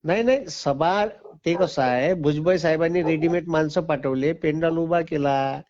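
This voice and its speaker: A man's voice: on the high side, moderate pace, darkish tone. A man with a rural Maharashtrian dialect on a phone call, arguing bluntly and with exasperation. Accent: rural Maharashtrian, Vidarbha-Marathwada dialect. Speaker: teacher. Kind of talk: argumentative phone conversation. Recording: muffled lo-fi phone call recording.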